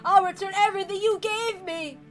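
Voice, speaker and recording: A woman's voice: extremely high pitch, fairly even intonation, soft background music, pitched above the performer's own voice. A woman voicing a deranged, tyrannical old patriarch with theatrical, obsessive rants, reading from a visual novel. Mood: desperate pleading. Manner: theatrical howling rants, drawn-out pleading.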